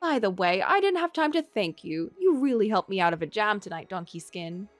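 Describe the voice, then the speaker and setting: A hearty older head cook, acted out by a woman reading a visual novel, blunt and motherly with her helpers. Her speech is brisk and animated, close and dry over faint music. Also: medium pitch, no room sound, natural voice. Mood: warm, earnest. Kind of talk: visual novel character read aloud.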